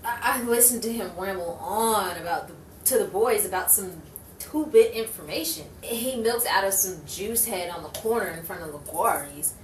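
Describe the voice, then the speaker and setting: A woman's voice: mid-range voice, animated, moderate pace, close to an everyday voice. A woman playing a plucky, wry 1940s newspaper reporter in a noir radio play, with theatrical, musing delivery. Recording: echoey, distant, noisy room recording.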